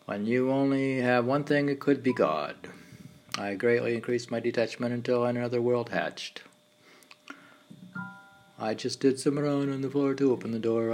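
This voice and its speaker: A man of medium pitch recites his poetry. He speaks in meditative, declarative phrases broken by pauses. Music plays clearly behind him. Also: a little mellow. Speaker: poet reading own work. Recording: music playing under the voice. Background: background music.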